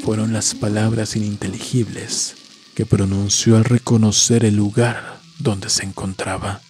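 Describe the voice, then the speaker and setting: A man reading a scripted horror story in a measured, literary storytelling manner. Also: lowish voice, little pitch movement, a little mellow. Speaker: narrator. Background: music.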